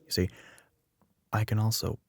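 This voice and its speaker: A man playing a smug, teasing young telepathic classmate in a soft-spoken ASMR roleplay, dry and matter-of-fact. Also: moderate pace, lightly acted.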